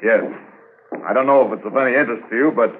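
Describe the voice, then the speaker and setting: A man's voice: medium pitch, fast, background noise, close to an everyday voice. Man playing eager, folksy radio drama constable. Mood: eager, informative. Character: eager, folksy junior constable bringing reports. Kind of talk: 1950s radio drama acting. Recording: muffled 1950s radio transcription recording.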